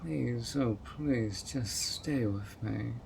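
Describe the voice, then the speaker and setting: A man playing a possessive fairy host, slow and gravelly. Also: medium pitch, darkish tone, a little hiss or hum. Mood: pleading. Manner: coaxing and doting, turning controlling. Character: handsome obsessive male fairy host, sweetly possessive. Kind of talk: audio roleplay.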